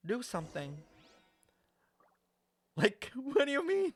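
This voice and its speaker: A young man giving casual reaction commentary on a game, expressive and slangy, thinking aloud. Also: extremely high pitch, slow, faint music behind.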